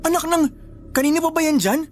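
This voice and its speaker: A wisecracking young nephew , voiced by a man in an audio drama, light and high-pitched for a man. He is offhand and teasing, and some music plays faintly underneath. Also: fast talker. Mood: skeptical. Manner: teasing, offhand, shouts to shoo a dog. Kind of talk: Tagalog radio drama acting.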